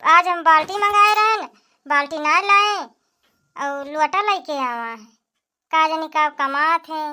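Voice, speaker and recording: A woman voicing a nagging, complaining young village wife in an Awadhi cartoon comedy, with a shrill voice and an Awadhi accent. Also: a little slow, bright, forceful tone. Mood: aggrieved. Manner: complaining, scolding.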